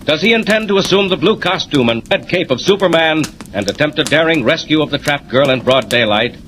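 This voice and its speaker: A man's voice: fairly high pitch, expressive, brisk, fairly bright tone. A man narrating as a 1940s radio serial announcer, dramatic and urgent. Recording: faintly noisy old radio recording.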